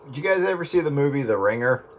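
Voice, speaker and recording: A man's voice: medium pitch, lively intonation, fast talker. A man chatting casually and jokingly over two-way radio, rambling along as a hobby operator. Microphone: radio transceiver microphone. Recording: very noisy two-way radio transmission.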